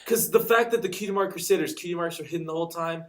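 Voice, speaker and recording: A man co-hosting a casual podcast, with a naturally loud voice. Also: a little high, very fast, slight background noise. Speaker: podcast co-host. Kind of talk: casual chat.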